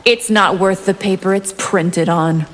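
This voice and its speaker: A woman's voice: medium pitch, steady tone, unhurried, lightly acted. An actress playing a menacing, haughty, bloodthirsty winged vampire woman in a video game. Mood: cold menace. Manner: haughty, threatening, theatrical. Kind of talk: video game character dialogue. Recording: long reverb, loud music behind.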